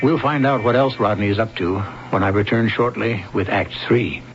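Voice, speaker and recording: An American actor narrating as the sardonic, deliberate host of a macabre old-time radio mystery series. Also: male voice, on the low side, noisy background, natural voice. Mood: droll. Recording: dull old radio broadcast, loud music behind.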